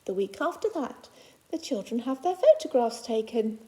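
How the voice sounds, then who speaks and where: A woman's voice: fairly high pitch, big pitch swings, normal speed, warm tone. A woman teacher reading a children's picture book aloud to young pupils, phrasing it simply and adding reassuring asides in her own words.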